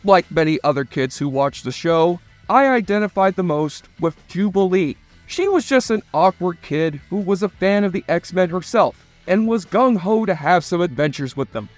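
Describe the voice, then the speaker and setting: A man's voice: high voice, lively intonation, normal speed, few pauses, no room sound. A man reading scripted narration for a retrospective video documentary. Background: faint music.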